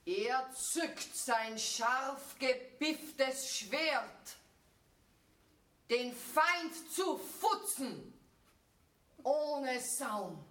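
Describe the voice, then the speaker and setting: Woman as a high, forceful battle-ballad storyteller. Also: lively intonation, recorded from a distance, heavily acted. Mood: dramatic, tense. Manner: drawn-out, forceful declaiming. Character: bold storyteller of a monster battle. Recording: echoey room, off the mic.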